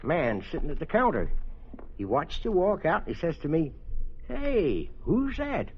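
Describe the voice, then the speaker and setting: An American actor playing a folksy, gossiping small-town druggist in an old radio drama, rambling along. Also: male voice, fairly high pitch, wildly swooping pitch, a little slow, heavily acted. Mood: chatty, admiring. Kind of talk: mid-century radio character acting. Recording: muffled, noisy old radio recording.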